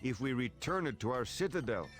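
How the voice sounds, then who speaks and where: An actor playing a loyal Aztec warrior adviser in a video game, grave, formal and determined. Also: male voice, medium pitch, sing-song, over music, lightly acted. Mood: earnest, advising. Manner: deliberate, earnest, formal. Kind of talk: scripted video game mission dialogue.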